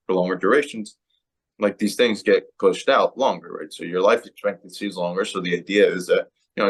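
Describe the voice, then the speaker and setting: Male co-host, very low voice, loose conversational delivery. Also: breathy edge. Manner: conversational, rambling, tag questions. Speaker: podcast co-host. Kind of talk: casual podcast chat.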